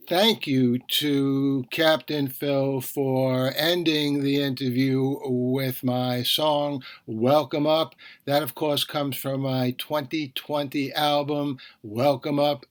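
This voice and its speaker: A man's voice: mid-range voice, fairly even intonation, slow. A man hosting a podcast, talking conversationally with rambling asides and self-corrections. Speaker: podcast host.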